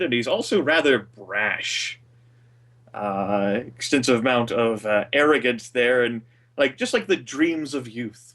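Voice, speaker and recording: A man with a booming voice recapping a tabletop game session over a voice call, rambling with many fillers. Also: a bit bright, far from the mic. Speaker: game master.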